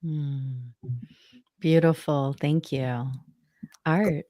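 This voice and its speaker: A woman hosts a video call panel at an unhurried, drawn-out pace. Her voice is dry and close, with almost no room sound around it. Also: sits low, fairly even intonation, mellow, dry recording. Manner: slow, drawn-out, laughing easily. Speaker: host. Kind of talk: casual Zoom panel hosting.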